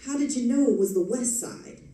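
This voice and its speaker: A woman's voice: fairly high pitch, brisk, warm tone, lightly acted. A woman playing a wry, suspicious old male police sergeant in an old-time radio detective drama, sardonic and slangy. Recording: echoey, distant stage recording.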